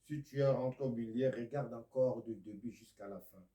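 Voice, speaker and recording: A man from Congo preaching a video sermon, a speaker of Lingala and Kikongo who swings from loud declaiming to near-whispers. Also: medium pitch, normal speed, echoey room.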